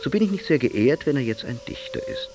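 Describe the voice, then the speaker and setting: A man's voice: mid-range voice, very animated, a little fast, background noise. A man reading autobiographical literary sketches expressively, with a wry, theatrical way of storytelling. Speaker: narrator of an audiobook. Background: music behind the voice.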